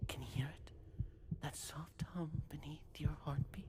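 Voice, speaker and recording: An actor voicing an eerie, serene collector of souls in a breathy, menacing whisper for a demo reel. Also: mid-range voice, fairly even intonation, very noisy, close to an everyday voice. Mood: eerie calm menace. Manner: slow, menacing, hushed.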